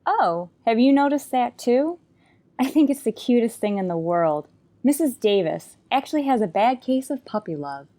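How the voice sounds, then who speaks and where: Actress as woman English teacher, lively intonation, wry, sarcastic, dry wit, old-time radio comedy. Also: fairly high pitch, normal speed. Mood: brisk, wry. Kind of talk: old-time radio sitcom acting and narration.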